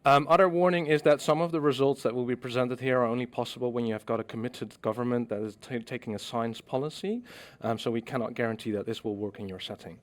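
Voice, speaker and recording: A middle-aged man from the Netherlands presents his research at a conference in a measured, explanatory way. His voice sits at an ordinary middle pitch, and he fills his pauses with frequent ums as he works through the points. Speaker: scientist giving a conference talk. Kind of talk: scientific presentation.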